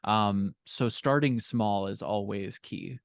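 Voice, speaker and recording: A man's voice: lowish voice, slow pace, vocal fry, no echo, clean studio sound. A man speaking casually as a guest author in a podcast interview.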